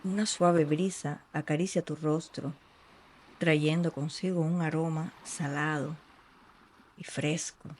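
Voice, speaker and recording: A meditation guide speaking in a soothing, unhurried way with long pauses between short phrases. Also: medium pitch, steady tone, darkish tone. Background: ambient sea sounds.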